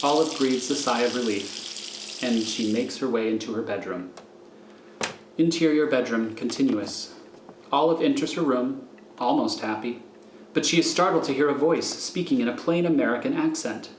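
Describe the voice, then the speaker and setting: A man narrates a thriller script, reading scene directions deliberately. His mid-pitched voice sits in an echoey room with faint noise behind it.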